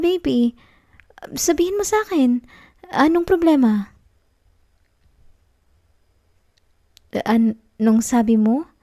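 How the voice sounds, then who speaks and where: Woman acting as a young Filipino girlfriend, clingy and anxious, high-pitched, mellow, soft coaxing delivery, intimate ASMR roleplay. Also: dry recording, studio quality. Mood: worried. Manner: soft, coaxing, halting, affectionate.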